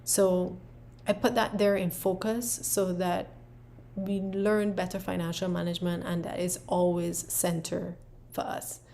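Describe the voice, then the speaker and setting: A woman's voice: fairly low pitch, unhurried, vocal fry, a little hiss or hum. A woman from Barbados with a Barbadian accent, casually walking viewers through her planner with lots of "um" and "right?". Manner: relaxed, many fillers and "right?" tags. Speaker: planner creator and presenter. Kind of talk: casual explanatory walkthrough.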